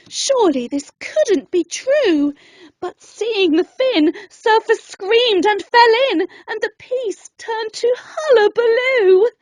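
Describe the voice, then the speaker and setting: Very slow, high-pitched woman storyteller. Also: no echo. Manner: theatrical, deliberate rhyming delivery. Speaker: children's storyteller. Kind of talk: expressive scripted bedtime story narration in verse.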